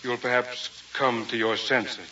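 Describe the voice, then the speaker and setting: A man's voice: mid-range voice, steady tone, a little slow, over loud music, close to an everyday voice. A man acting as an arrogant, coldly rational scientist and domineering husband in a vintage radio drama, with a pompous, lecturing manner. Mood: cold, commanding. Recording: muffled, hissy vintage radio recording.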